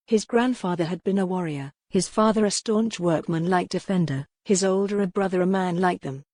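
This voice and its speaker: A woman reading a historical romance audiobook as scripted, expressive dramatic narration. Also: on the low side, no room sound, clean studio sound.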